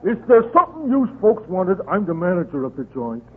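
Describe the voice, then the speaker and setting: An actor playing an excitable wrestling club manager in 1930s radio comedy, on a muffled old broadcast. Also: male voice, high-pitched, a little fast, heavy background noise. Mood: brisk, amused.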